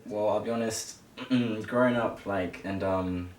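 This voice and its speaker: A man in his early twenties from Zimbabwe telling personal stories as an interview guest in a casual, halting, hesitant way. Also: fairly even intonation. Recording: echoey room, distant mic, rumbling noise.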